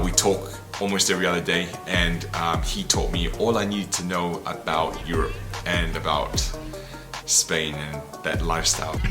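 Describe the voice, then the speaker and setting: A man, telling his life story to camera in a candid, reflective, casual way. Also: deep voice. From New Zealand. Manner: reflective and candid, loose and conversational. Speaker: travel content creator. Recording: voice over loud background music. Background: music.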